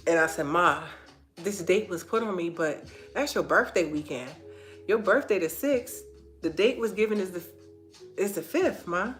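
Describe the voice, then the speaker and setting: A woman's voice: fairly low pitch, lively intonation, moderate pace, faint music behind. A woman hosting an inspirational faith-based livestream, earnest and repeating phrases for emphasis, her nose stuffy and congested. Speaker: host of a live online talk.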